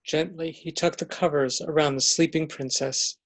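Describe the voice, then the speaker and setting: Mid-pitched narrator reading a story. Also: steady tone, normal speed.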